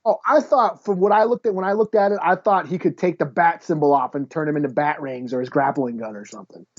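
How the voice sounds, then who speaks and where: A man's voice: on the high side, quick pace, lo-fi sound. A man co-hosting a casual podcast, chatting in a laid-back way and often chipping in briefly. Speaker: podcast co-host. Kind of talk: casual chat.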